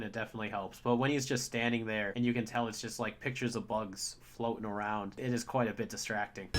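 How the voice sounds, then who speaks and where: A man's voice: fairly low pitch, a bit bright. A young man reviewing a cartoon episode in an informal, conversational and opinionated way. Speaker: video reviewer.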